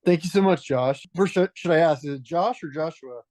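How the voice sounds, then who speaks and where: A man's voice: fairly high pitch, a little fast, darkish tone, dry recording. A dry, hesitant-sounding male podcast guest. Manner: hesitant, restarts phrases often. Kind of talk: reflective conversational storytelling.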